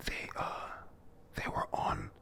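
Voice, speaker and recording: A person whispering an informal, halting recap of a comic chapter for viewers, breathy and prone to casual swearing. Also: fairly bright tone. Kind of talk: whispered read-through and recap of a manga chapter.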